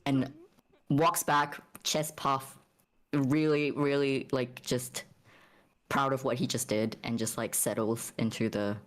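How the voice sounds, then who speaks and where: A woman playing a tabletop game, casually narrating what a character does in a halting, giggly way. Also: extremely low pitch, flat delivery, low-quality recording.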